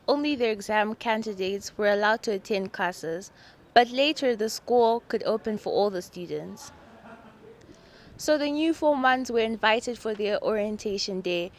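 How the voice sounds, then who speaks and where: A woman delivering scripted narration for a school newsreel. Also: medium pitch.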